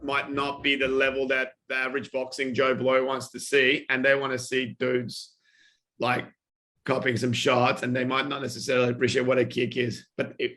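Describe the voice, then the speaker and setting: A man's voice: medium pitch, pushed and bright. A man co-hosting a podcast, talking in a blunt, teasing way. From Australia. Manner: blunt, teasing, swears casually. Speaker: podcast co-host. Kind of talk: casual chat.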